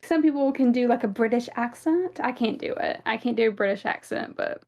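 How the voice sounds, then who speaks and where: Chatty Southern woman, slightly gravelly. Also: fairly high pitch, brisk, muffled recording. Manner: chatty, rambling, many fillers. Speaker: podcast co-host. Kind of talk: casual fan podcast chat.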